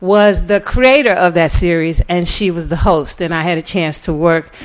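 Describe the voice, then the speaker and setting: A woman's voice: lowish voice, moderate pace, low-quality recording. A middle-aged American woman from New York City giving an informal lecture, telling her own story in a conversational way. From New York City, USA. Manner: conversational, animated retelling with quoted dialogue and chuckles. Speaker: guest lecturer and author.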